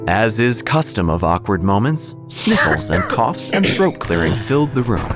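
A man narrating a dark comic story in a dry, deadpan way. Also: lowish voice, normal speed. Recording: music bed under the voice.

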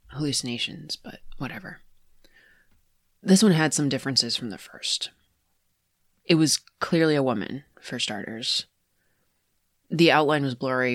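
An actress playing a rattled, analytical woman investigator who haltingly recounts eerie sightings in a confessional horror audio drama. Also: very deep voice, dry recording, studio quality. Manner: halting, hesitant, self-correcting.

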